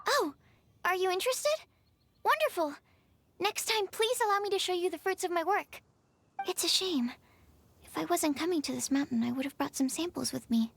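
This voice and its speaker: A woman's voice: very high-pitched, animated, normal speed, creaky, long pauses, a bit bright, heavily acted. A woman playing an earnest, inquisitive young researcher in a video game, speaking politely with a bookish, slightly hesitant manner. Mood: eager. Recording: music behind the voice.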